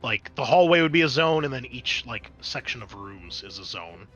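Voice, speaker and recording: A man with a bright voice and mid-range pitch runs a tabletop roleplaying game. He narrates scenes casually and explains the rules in a halting, explanatory manner, with music behind him. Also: big pitch swings, dull audio. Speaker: game master.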